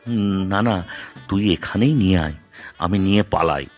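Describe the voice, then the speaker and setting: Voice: sits low, normal speed, faint music behind. A performer voicing a grumpy, squeamish young groom in a children's cartoon, grumbling and stammering when flustered. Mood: sheepish. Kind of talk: Bengali children's cartoon voice acting.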